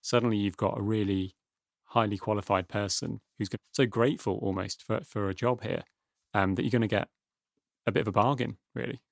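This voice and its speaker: Gravelly low-voiced male podcast host. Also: fairly even intonation, no echo, clean studio sound. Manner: chatty, quick, frequent agreeing murmurs. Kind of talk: casual studio chat. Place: small dry studio.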